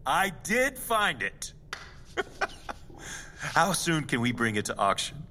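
An actor playing a greedy, bitter rich heir in an audio drama, haughty and sardonic with theatrical petulance. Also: male voice, on the high side, sing-song, takes it slowly, sharp tone, slight background noise, exaggerated voice. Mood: greedy excitement. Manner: haughty, sardonic, swooping delivery. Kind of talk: dramatic audio fiction acting.

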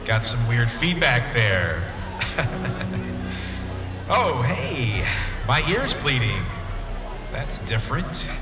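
Showy announcer on stage, voice jumping around, roomy echo, loud music under. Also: male voice, mid-range voice, big pitch swings, drawn-out words, long pauses. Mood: flustered. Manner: showy, addressing a crowd. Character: upbeat male event announcer, flustered by feedback. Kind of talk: audio drama acting. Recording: echoey venue sound, loud music.

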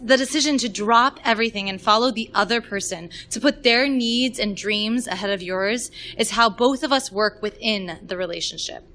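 Bright-voiced woman reading a personal story. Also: medium pitch, moderate pace, few pauses. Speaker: musical theatre performer. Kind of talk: scripted personal story read aloud.

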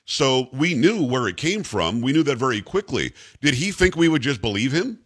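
A man's voice: mid-range voice, big pitch swings, normal speed, fairly bright tone, breathy edge. A man hosting a talk show and interviewing a guest. He talks enthusiastically, with frequent stumbling restarts.

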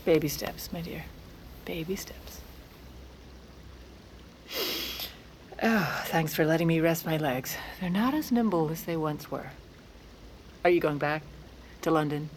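A woman's voice: deep voice, moderate pace, background noise. An actress playing a proud, sardonic aging former First Lady in an audio drama, wry and haughty with formal wording. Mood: haughty, softening. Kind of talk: audio fiction drama, first-person memoir narration and dialogue.

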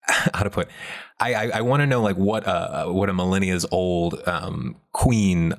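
A man's voice: sits low, a little slow. A man co-hosting a casual discussion, giving candid, wry opinions in a conversational way. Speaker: podcast co-host.

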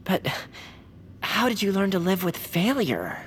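Earnest unsure amateur director in an audio comedy, hesitant but really expressive, pitch moves around a lot. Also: female voice, measured pace, slight background noise. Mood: hesitant, dejected. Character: earnest, self-doubting amateur theatre director. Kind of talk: scripted comedic audio drama acting.

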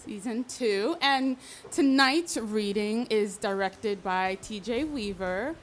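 A woman's voice: medium pitch, slow pace. A woman co-hosting a live show with casual introductions, speaking informally. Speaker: show co-host. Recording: noisy live venue recording, glitchy sound.

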